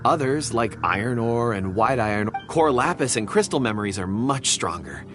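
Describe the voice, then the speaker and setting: A man's voice: mid-range voice, lively intonation, normal speed, natural voice. A man voicing a polite, earnest young man with a mysterious air in a video game. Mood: earnest, explaining. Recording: clear music behind the voice. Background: game music.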